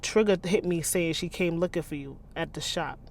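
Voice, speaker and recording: A woman's voice: on the low side, moderate pace, a little mellow, lightly acted. A woman voicing a mouthy twenty-year-old male street hustler in a novel, with an American accent and brash, mocking slang. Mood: tense.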